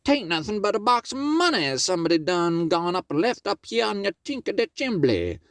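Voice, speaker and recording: Man doing a sly high-pitched trickster rabbit. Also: big pitch swings, fairly quick, no room sound, clean studio sound.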